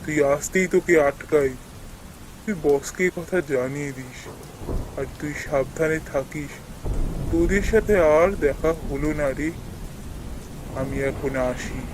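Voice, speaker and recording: A man's voice: on the high side, a little slow, pauses often, background noise, close to an everyday voice. A man playing the sorrowful ghost of an office colleague in a cartoon story, speaking in a familiar, cautioning, brotherly way. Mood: sad, resigned. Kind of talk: dramatic cartoon voice acting.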